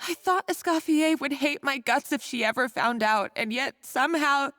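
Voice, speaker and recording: A guilt-ridden young female chef in game dialogue. The voice is high and hesitant, apologetic and meek, with music behind it. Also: normal speed, a bit bright. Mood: frightened, remorseful.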